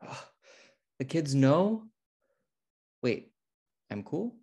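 A man's voice: on the high side, big pitch swings, extremely slow, vocal fry, warm tone, husky, heavily acted. A man playing an uptight, square, grudging schoolteacher in a sitcom table read. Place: home room on a video call.

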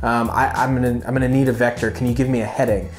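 A man in his thirties with a medium-pitched voice talks straight to camera as an instructor. He is conversational and emphatic, explaining things informally. The lapel-miked voice carries noticeable room echo, with faint music behind it. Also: little pitch movement, fast talker. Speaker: flight instructor and video host. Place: small furnished living room indoors. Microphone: lapel mic.

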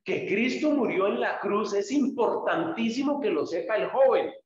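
A man's voice: high voice, expressive, normal speed. A man, a pastor, teaching a church group, explaining patiently and speaking to listeners directly by name. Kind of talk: instructional talk to a small group. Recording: very echoey, dull lo-fi room.

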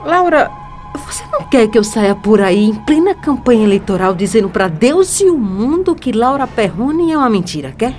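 An actress playing a righteous, defiant young woman in a Brazilian radio soap opera, measured and pointed. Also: fairly high pitch, sing-song, darkish tone, soft background music. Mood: defiant, accusing.

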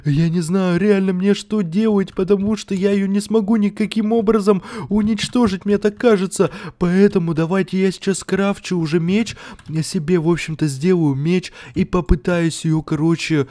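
A man's voice: high-pitched, fairly even intonation, moderate pace, mellow. A young man doing exclamatory Let's Play commentary, repeating himself and addressing his viewers. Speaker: gaming video host.

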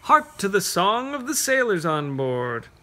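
A man reading a picture book aloud to children, pausing to ask them gentle, encouraging questions. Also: high voice, very animated. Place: carpeted room at home, sitting on floor.

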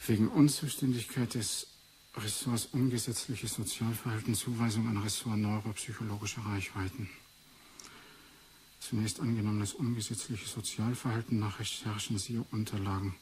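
A man's voice: on the low side, flat delivery, normal speed, a little mellow, a little hiss or hum, natural voice. An actor playing a testy, reluctant male researcher and doctor in a 1980s radio drama, muttering as he goes. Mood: reading, muttering. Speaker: researcher character in a radio play.

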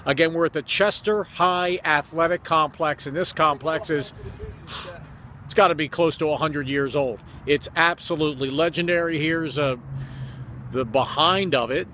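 A man's voice: fairly high pitch, normal speed, pushed and bright. A man in his fifties speaking as an enthusiastic announcer and interviewer, ad-libbing an on-location promo. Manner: enthusiastic, effusive. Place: outdoors by a sports complex. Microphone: phone at arm's length. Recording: outdoor phone video with background noise.

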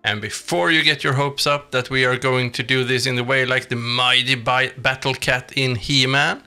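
A man's voice: mid-range voice, fairly even intonation, normal speed, sharp tone, smooth. A man reading a scripted video game review as a voice-over. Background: background music.

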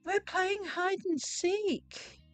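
A woman's voice: extremely high pitch, very slow, no echo, faint music behind, pitched above the performer's own voice, heavily acted. A woman voicing a disdainful village boy from a storybook in a child's squeal. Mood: offhand.

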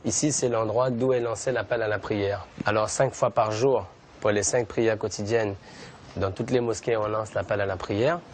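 A man calmly explaining things while guiding visitors through a mosque. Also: fairly low pitch, moderate pace, a little hiss or hum. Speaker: mosque guide. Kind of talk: explanatory tour.

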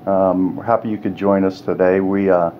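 A man's voice: deep voice, level tone, normal speed. A man in his sixties moderating a panel, hesitant with many fillers. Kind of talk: panel discussion. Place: hotel room studio set. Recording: dull sound, faint room hiss.

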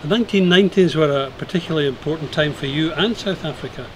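A man's voice: a little high, fast. An older man interviewing a guest with formal, polished questions on an outdoor terrace. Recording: outdoor noise behind voice.

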